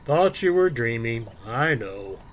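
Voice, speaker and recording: An actor playing Bob, a devoted but menacing husband, in a calm, unhurried radio drama performance. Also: male voice, medium pitch, slight background noise.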